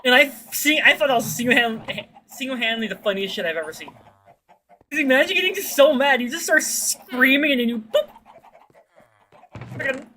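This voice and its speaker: A woman's voice: normal speed, pauses often, slight background noise. A woman chatting casually as a streamer, telling stories in a slangy, sweary way and acting out what people said. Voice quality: fairly deep-sounding for a woman. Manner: slangy, sweary, animated retelling with acted-out quotes. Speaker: streamer chatting with viewers while gaming. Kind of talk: casual chat, rambling storytelling.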